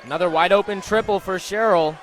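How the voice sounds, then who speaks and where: High-voiced male basketball color analyst. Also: fairly quick. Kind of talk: live basketball analysis and commentary. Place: courtside in a basketball arena.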